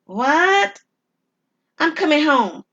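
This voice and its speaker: A woman's voice: sits high, sing-song, drawn-out words, fairly bright tone, highs cut off, voice pushed up, heavily acted. A woman voicing an anxious, alarmed wife who questions and exclaims in an audio drama. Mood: frightened, questioning.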